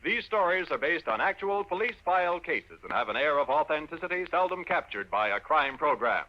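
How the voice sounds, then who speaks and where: A man's voice: on the high side, sing-song, brisk. A man reading scripted announcements in a formal, polished 1950s radio style. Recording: muffled vintage radio, music behind.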